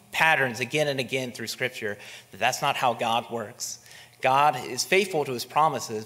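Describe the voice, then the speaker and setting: A man's voice: mid-range voice, fairly bright tone. A man in his thirties, a pastor, preaching a sermon from a pulpit in a conversational way, asking his listeners rhetorical questions. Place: church sanctuary, at a wooden pulpit. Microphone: pulpit microphone. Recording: long reverb of a church hall.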